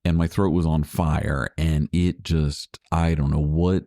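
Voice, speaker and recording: A man co-hosting a podcast, talking casually while hoarse from laryngitis. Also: rumbling low, unhurried, no echo, studio quality. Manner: chatty, animated storytelling. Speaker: podcast co-host. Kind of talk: casual chat.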